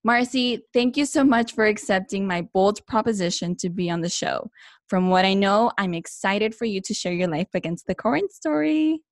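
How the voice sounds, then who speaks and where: A woman's voice: mid-range voice, lively intonation, normal speed, no echo, studio quality. A woman hosting her own interview podcast, opening with a friendly scripted intro and then asking questions. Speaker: podcast host.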